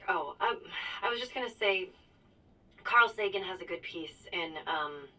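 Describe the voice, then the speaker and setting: A woman's voice: mid-range voice, lively intonation, measured pace, bright, forceful tone, exaggerated voice. A woman playing a polite, hesitant colleague with an apologetic manner in a casual audio drama discussion. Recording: distant from the microphone.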